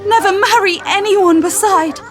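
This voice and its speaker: A woman's voice: extremely high pitch, moderate pace. A woman playing a tender, urgent young lady in peril in a melodramatic radio drama. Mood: anxious. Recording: music and sound effects behind.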